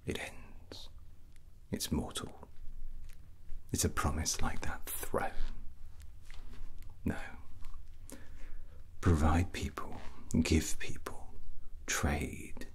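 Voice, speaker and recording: A brooding, grandiose rogue prince, acted out by a man for an ASMR roleplay. He murmurs in an extremely deep, breathy whisper. Each phrase comes very slowly and deliberately, hanging in long pauses. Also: level tone, heavily acted. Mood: solemn, philosophical. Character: drunken rogue dragon-riding prince, brooding, ambitious, grandiose.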